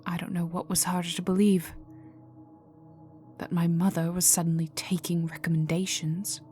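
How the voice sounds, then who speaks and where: A woman performs a cold vampire noblewoman for a dark ASMR roleplay. Her voice is on the low side and very unhurried, often sinking into a whisper. She is condescending, teasing and quietly menacing, over moody ambient music. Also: natural voice. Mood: guarded, disdainful. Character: cold, haughty aristocratic female vampire, menacing and amused. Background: dark atmospheric music with resonant tones.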